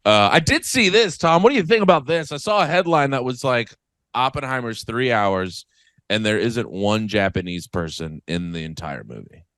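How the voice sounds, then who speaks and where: A man's voice: medium pitch, lively intonation, fairly bright tone, no room sound. A man co-hosting a comedy podcast, joking and riffing in casual chat on a close microphone. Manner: joking, riffing, quick impressions. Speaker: podcast co-host. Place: apartment.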